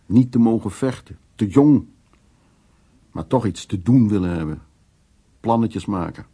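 An elderly gentleman in a radio play, with a low, husky voice full of gravel. He is a wry old charmer who tells of his past in short, unhurried fragments. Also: animated, full character voice. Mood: wry reminiscing. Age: 70s or older. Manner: unhurried, fragmentary, reminiscing storytelling. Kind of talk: naturalistic radio drama monologue and dialogue.

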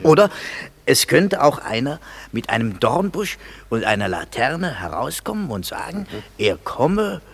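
A man playing a fussy, excitable craftsman who directs an amateur play in a comic German radio drama. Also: medium pitch, measured pace. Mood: fussy, pondering. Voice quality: husky.

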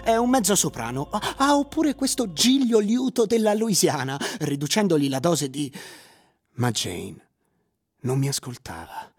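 A man's voice: a little high, sing-song, measured pace, husky, full character voice. An actor playing a flustered, fascinated male shopkeeper who narrates and speaks his part in a radio drama, dramatic and at times hesitant. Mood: nervous. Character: flustered, fascinated male flower-shop keeper recalling a strange encounter. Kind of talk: first-person radio drama narration with acted dialogue.

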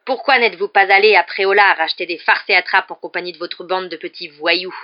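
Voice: mid-range voice, fairly even intonation, a little fast, highs cut off, close to an everyday voice. A book narrator voicing a grumpy, suspicious male caretaker who barks accusations. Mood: angry, barking. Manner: barking, accusatory.

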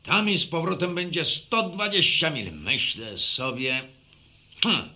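Boastful male village veteran, bright sharp voice, swooping mock-pompous delivery, drawling, wry, faintly noisy radio play. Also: a little high, big pitch swings, measured pace, heavily acted. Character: Maczek, boastful, wry ex-soldier villager fond of drink. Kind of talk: Polish radio drama acting.

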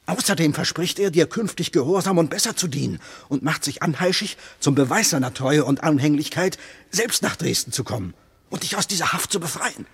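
An actor in a German radio play as a wily, servile henchman with a rough, husky voice. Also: on the high side, animated, a little fast, a bit bright, full character voice. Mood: eager, conspiratorial. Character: wily, servile male henchman bearing a secret message.

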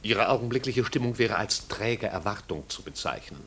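A formal manservant in a 1950s radio play. He is dry, precise and deferential, with a pitch that moves widely through his careful reports. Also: lively intonation, moderate pace, a bit bright, a little hiss or hum. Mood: dry, dutiful. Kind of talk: 1950s German radio drama acting.

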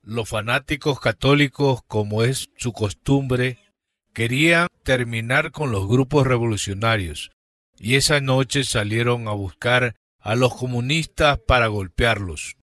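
A man's voice: medium pitch, unhurried, fairly bright tone. A man giving scripted narration and announcements for a radio drama.

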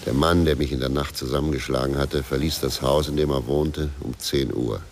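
An actor playing a bitter, brooding former police investigator in a radio crime drama, speaking tersely. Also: male voice, very deep voice, fairly even intonation, fairly quick, a little hiss or hum. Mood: grim narration. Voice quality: gravelly.

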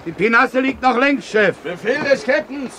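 An actor playing an impatient pirate sailor who barks urgent orders across a deck in a 1970s German radio play. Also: male voice, lively intonation, moderate pace. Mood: bossy, urgent. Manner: barking, urgent, high strained calling. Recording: noisy old radio ship-deck scene.

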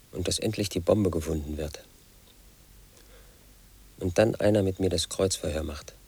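A man's voice: low-pitched, darkish tone, full character voice. An actor playing a confused, paranoid man in his late thirties, telling a rambling radio play monologue. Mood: hushed. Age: middle-aged. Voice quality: gravelly, husky. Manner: rambling, confiding, sinks to a whisper. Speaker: lead actor.

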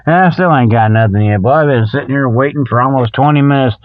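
A man's voice: mid-range voice, fast talker, no echo, narrow, tinny audio. A man hosting a comic show solo, rambling in a folksy, colloquial way and often repeating himself as he thinks aloud. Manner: folksy, colloquial, with mock exasperation. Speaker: host of a comic Bigfoot show.

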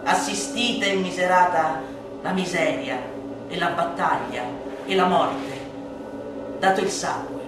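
A woman's voice: fairly low pitch, takes it slowly. A woman giving a declamatory, emphatic theatrical recitation of a literary monologue, with dramatic swings. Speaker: stage and radio actress performing a literary monologue. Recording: echoey room, distant mic, loud percussion music. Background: timpani and percussion music.

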